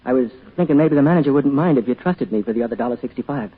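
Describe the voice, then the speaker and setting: An actor playing a poor, humble man pleading in an old-time radio drama, with an American accent and a husky voice. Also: medium pitch, racing, lightly acted. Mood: pleading, grateful. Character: poor, humble, pleading husband short of money. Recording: tinny, band-limited old radio recording.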